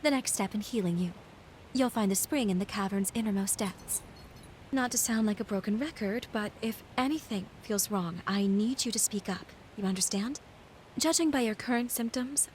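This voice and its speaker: An actress playing a caring young female healer in a video game, speaking in a gentle, articulate, earnest way. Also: mid-range voice, normal speed, slight background noise, close to an everyday voice. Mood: concerned, caring. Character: caring young female healer companion with a bitter family grudge. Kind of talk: video game cutscene voice acting.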